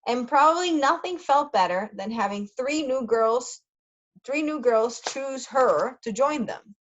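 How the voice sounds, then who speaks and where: A woman reading a story aloud as a teacher, carefully pausing to explain words for language learners. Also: a little high. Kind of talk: read-aloud storytelling with brief translations. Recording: dull lo-fi sound.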